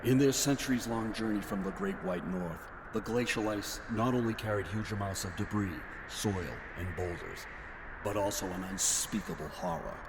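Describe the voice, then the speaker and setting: A man performs an ominous horror storyteller in a low voice. His scripted narration is grave and portentous, set against loud ambient noise in a radio drama style. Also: moderate pace, natural voice. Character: ominous male narrator of swamp monster tales.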